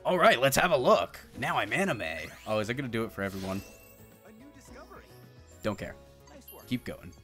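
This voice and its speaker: A man's voice: medium pitch, sing-song, normal speed, pauses often, fairly bright tone. A man in his thirties doing casual, jokey gaming commentary, thinking aloud and swearing now and then. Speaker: game streamer. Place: indoor streaming setup. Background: video game music.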